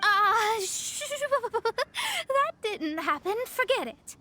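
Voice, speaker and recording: Haughty high-pitched young woman astrologer. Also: very animated, very slow, bright, forceful tone, exaggerated voice. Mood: flustered. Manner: pompous, self-assured, theatrical. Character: proud, haughty young woman astrologer, self-styled master. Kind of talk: video game character acting.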